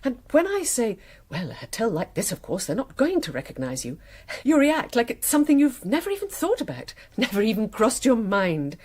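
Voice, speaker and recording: A woman's voice: a little high, wildly swooping pitch, a little fast, darkish tone. A woman acting in a naturalistic radio drama as a betrayed wife, sceptical, pointed and bitterly sarcastic. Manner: sardonic, accusing, pointed.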